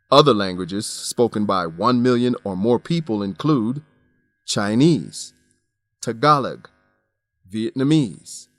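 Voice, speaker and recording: A man narrates a scripted English lesson at a medium pitch. His delivery is measured and instructional, recorded very dry with light music. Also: dry recording. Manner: measured, didactic.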